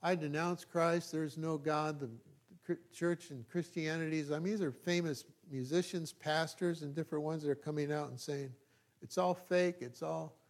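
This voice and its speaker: A male pastor from California with a Californian American accent and a voice pitched a little above average. He preaches in a loose, conversational way, folksy and self-deprecating. He often halts, then checks that his listeners are following. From California, United States. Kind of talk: informal, conversational sermon.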